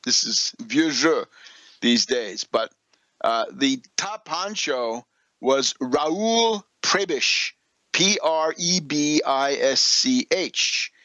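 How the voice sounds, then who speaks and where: A man's voice: medium pitch, lively intonation, drawn-out words, bright, forceful tone, dry recording. A man delivering political and economic commentary as a radio host, lecturing and putting rhetorical questions to the listener. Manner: lecturing, didactic, with rhetorical questions and check-ins.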